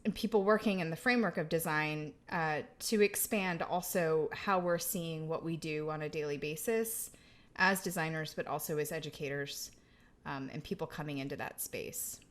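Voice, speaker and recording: Lower-voiced woman teacher on a zoom panel, earnest, lots of um's. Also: moderate pace. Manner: earnest, tag questions, fillers. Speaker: panelist, design educator. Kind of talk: roundtable discussion. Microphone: video call.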